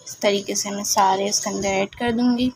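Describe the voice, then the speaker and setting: A woman calmly guides viewers through a recipe step by step in a home kitchen, repeating "okay" and "look here" as she cooks. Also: medium pitch, normal speed, breathy edge, rough recording. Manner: homely, repeats "ठीक है" and "ये देखें", explains patiently. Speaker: home cooking channel host.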